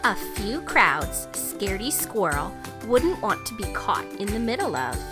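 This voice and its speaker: A woman reads a picture book aloud for young children. She takes her time, with a highly animated pitch that rises and dips for emphasis. Children's music plays clearly behind her voice. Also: a little slow. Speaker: children's story reader.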